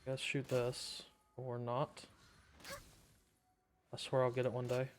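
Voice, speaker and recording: A man giving casual, sarcastic live commentary as he plays a game, with a deadpan manner. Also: mid-range voice, slow pace, slight background noise. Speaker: game streamer. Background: game music.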